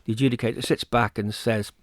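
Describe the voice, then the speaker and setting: A man's voice: mid-range voice, fairly even intonation, fast talker, no room sound. Hesitant man interviewed in a dry studio. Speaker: choir festival organiser, interview guest. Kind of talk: informal radio interview.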